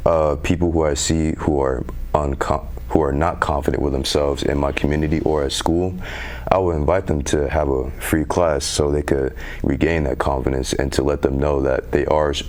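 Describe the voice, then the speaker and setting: A teenage young man, a youth council member, answering interview questions earnestly in a very deep voice with some halting fillers. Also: darkish tone. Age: teens. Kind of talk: community TV interview.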